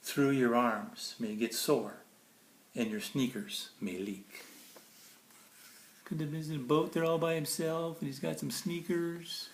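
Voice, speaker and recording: Slow-paced man reading a picture book aloud. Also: on the high side. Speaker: storybook reader. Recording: roomy echo, faint background noise.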